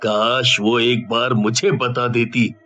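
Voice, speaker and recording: A man playing a middle-aged police officer in a horror story, earnest and deliberate. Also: medium pitch, level tone, pushed and bright, highs cut off, soft background music. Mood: brooding. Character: middle-aged male police officer, stern grieving father.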